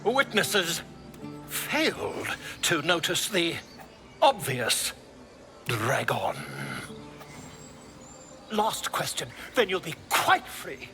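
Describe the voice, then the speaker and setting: An actor playing a pompous, nosy bard and scholar in a video game, with florid, theatrical questioning. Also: male voice, a little high, very animated, extremely slow, long pauses, pushed and bright, heavily acted. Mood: probing, self-important. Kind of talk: video game character acting. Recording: music behind the voice.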